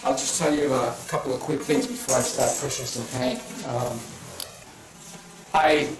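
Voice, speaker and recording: A man's voice: medium pitch, steady tone, moderate pace, background noise. Older Boston-accented man in an echoey hall. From Italy. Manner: rambling, with frequent fillers and restarts. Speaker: painter giving a demonstration. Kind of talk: casual live demonstration talk. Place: art association meeting hall. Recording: echoey room, mic at a distance.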